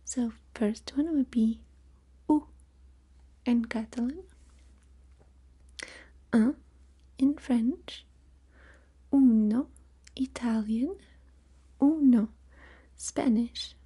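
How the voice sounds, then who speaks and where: A woman softly whispering a language lesson in ASMR style, listing words one by one. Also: a little high, extremely slow, long pauses, husky. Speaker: language teacher.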